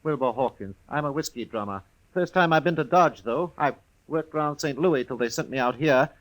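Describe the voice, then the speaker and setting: A man's voice: medium pitch, fairly quick, lightly acted, poor audio. A male actor plays a strange traveling salesman of the Old West in a mid-range voice. He rambles yet presses his point with an ominous insistence. The delivery is classic 1950s radio drama. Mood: ominous, insistent. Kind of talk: 1950s radio western acting.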